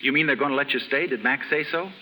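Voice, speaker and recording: An actor playing a genial, hesitant, lovelorn well-bred bachelor in a 1930s radio drama, polite and gently teasing. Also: male voice, mid-range voice, fast. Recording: hissy 1930s radio recording, reverberant stage.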